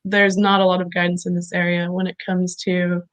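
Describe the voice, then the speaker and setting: A woman's voice: on the low side, completely flat delivery, a little fast. A woman hosting an online video talk, friendly and hesitant, with many ums. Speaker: talk host. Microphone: video call.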